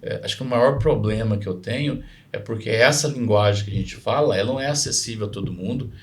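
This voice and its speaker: A man with a Mineiro accent, a physician guest on a health podcast, whose voice is gravelly and sits a little low. He talks in a loose, rambling way, often checking in with the listener and joking at his own expense. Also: quick pace. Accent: Mineiro Brazilian Portuguese. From Minas Gerais, Brazil. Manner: rambling, frequent tag questions, self-deprecating humor. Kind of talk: casual podcast conversation.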